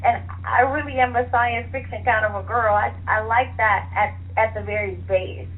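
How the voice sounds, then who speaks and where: Higher-voiced woman on a tinny phone line. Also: steady tone, moderate pace. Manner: chatty, enthusiastic, laughs easily. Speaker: author being interviewed. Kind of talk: lively conversational interview. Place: phone call to internet radio show.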